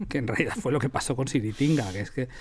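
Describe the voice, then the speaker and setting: A man's voice: mid-range voice, quick pace, slightly rough. A middle-aged man with a Castilian Spanish accent, telling stories conversationally as a studio interview guest. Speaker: radio drama director, interview guest. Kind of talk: conversational storytelling. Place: radio studio.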